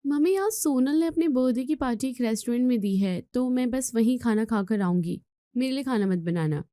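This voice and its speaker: A spoiled young woman from a moral tale, acted by a female storyteller, pitched high and dryly recorded. She is flippant and lazy, whining and brushing others off with a snooty air. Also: expressive, fairly quick, warm tone, no room sound, studio quality, lightly acted. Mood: breezy. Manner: flippant, dismissive, whiny.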